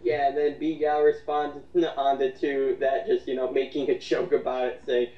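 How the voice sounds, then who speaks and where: A man speaks from some distance in a roomy, slightly dull recording. He sounds derisive and sweary as he gives halting, casual commentary and reads out comments. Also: medium pitch, little pitch movement.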